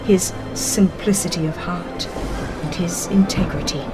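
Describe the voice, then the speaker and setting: A woman reading scripted religious narration in a solemn, measured and expressive manner. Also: lowish voice. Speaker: audiobook narrator. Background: background music.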